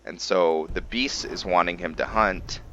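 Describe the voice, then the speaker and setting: A man giving casual gameplay commentary and roleplay storytelling, haltingly thinking aloud as he goes. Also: sits low, fairly bright tone, dull audio, slight background noise. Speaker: gaming video host and roleplayer.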